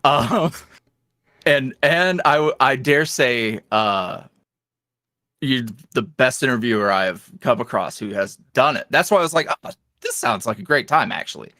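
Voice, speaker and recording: A man's voice: medium pitch, expressive, unhurried, pushed and bright, dry recording, clean studio sound. A man speaking as a podcast guest in casual interview chat, with a wry, self-deprecating, rambling manner. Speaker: podcast guest, ASMR roleplay creator.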